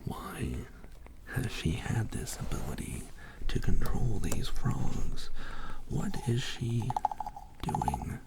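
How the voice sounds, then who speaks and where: Guy doing asmr bedtime story, super creaky and whispery, rambles in little bits, right up on the mic. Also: very animated, rough recording. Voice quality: breathy whisper mixed with low creaky voice. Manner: soothing, slow, halting short phrases. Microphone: close high-sensitivity microphones. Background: soft writing and tapping trigger sounds.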